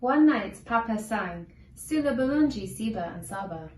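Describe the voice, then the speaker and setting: Echoey, higher-pitched woman reading a storybook. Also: expressive, moderate pace, darkish tone, slight background noise. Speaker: storybook narrator. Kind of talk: scripted read-aloud children's story narration. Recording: echoey room, distant from microphone.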